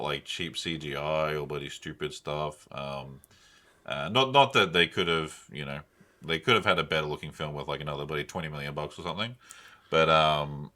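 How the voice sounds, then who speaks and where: A man's voice: extremely low pitch, pushed and bright. A man co-hosting a podcast, chatting casually about films in a rambling, slangy, enthusiastic way. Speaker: podcast co-host. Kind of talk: casual film discussion.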